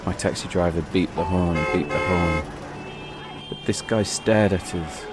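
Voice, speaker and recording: Very deep-voiced man telling a suspenseful story. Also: measured pace, over loud music. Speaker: storyteller and podcast host. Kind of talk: dramatic first-person travel narration.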